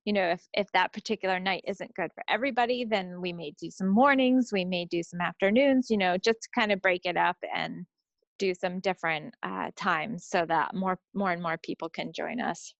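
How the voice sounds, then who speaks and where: Low, creaky-voiced woman in casual podcast chat. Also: normal speed, no room sound, muffled recording. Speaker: podcast co-host.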